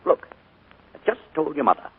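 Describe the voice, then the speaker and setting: A man's voice: brisk, lightly acted. A man playing a charming but sinister middle-aged uncle in a 1940s radio drama, his coaxing voice carrying a menacing undertone. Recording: narrow 1940s radio broadcast.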